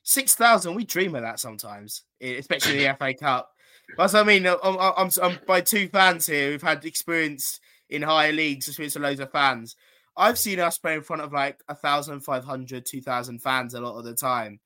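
A man's voice: on the high side, normal speed, pushed and bright. A man co-hosting a casual football podcast, chatty and self-mocking. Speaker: podcast co-host.

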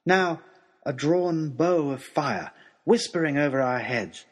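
A man playing an earnest, lovestruck gentleman adventurer who tells his journey in formal, old-fashioned, expressive storytelling. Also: a little high, takes it slowly. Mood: awed. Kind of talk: dramatised first-person storytelling, as a letter to a lady.

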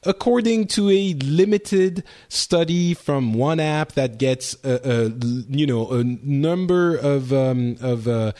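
A man's voice: on the high side, slow pace, few pauses, dark tone. A man co-hosting a gaming podcast in casual chat, rambling with many fillers and restarts. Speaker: podcast co-host.

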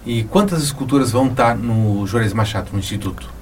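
A man's voice: mid-range voice, a little fast, darkish tone, slight background noise. A man with a Brazilian accent interviewing a guest casually as the host of a show.

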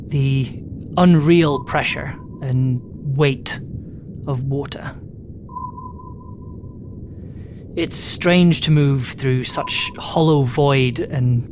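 A performer playing a weary, haunted submariner in a halting, reflective audio drama monologue. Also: mid-range voice, noisy background, lightly acted. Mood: brooding, haunted. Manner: halting, reflective, deliberate pauses. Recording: music under the voice. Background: music.

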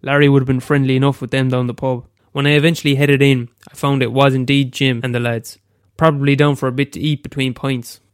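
A man's voice: medium pitch, little pitch movement, fast talker, few pauses, clean tone, dry recording, studio quality. Irish man reading his story, slightly stuffy. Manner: casual, hesitant with fillers in the intro. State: head cold. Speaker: amateur storyteller. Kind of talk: reading his own short story aloud.

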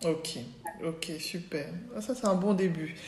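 A woman's voice: fairly low pitch, a little slow, a little mellow. A woman hosting a casual interview, speaking in an informal, encouraging, conversational way. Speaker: interviewer, host. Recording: echoey room.

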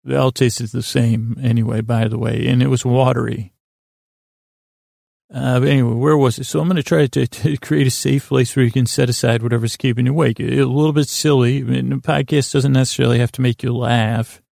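Creaky, droning man rambling for sleep. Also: medium pitch, steady tone, darkish tone, no room sound, clean studio sound. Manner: meandering, halting, repetitive, goes off on tangents. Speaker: podcast host. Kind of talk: rambling bedtime podcast monologue to help listeners sleep.

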